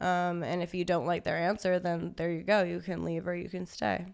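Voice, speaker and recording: A woman's voice: on the low side, flat delivery, normal speed, vocal fry, smooth. A young woman guest on a podcast interview, talking casually and candidly with lots of fillers and a laugh-it-off attitude.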